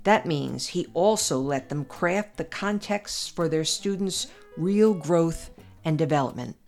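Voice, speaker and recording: An older American woman reading her own essay aloud as a podcast commentator, earnest and measured, pressing hard on her key points. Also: low-pitched, a little mellow, soft background music.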